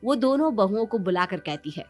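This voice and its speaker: A woman narrates a scripted moral tale at a medium pitch. Music plays behind her storytelling. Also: very animated, a little fast, a little mellow.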